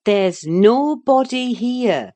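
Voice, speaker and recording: A sensible older servant woman, firm and calming, who talks slowly and steadily, as a woman reader gives her voice. Her tone stays dark and mellow in this storybook reading. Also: mid-range voice, pitched above the performer's own voice. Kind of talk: audiobook character voice.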